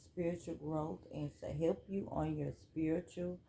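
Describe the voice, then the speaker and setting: Slow, gravelly-voiced woman reading cards. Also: sits low, a little hiss or hum. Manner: relaxed, chatty, reads cards aloud. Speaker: spiritual card reader. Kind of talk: casual card reading vlog. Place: home room.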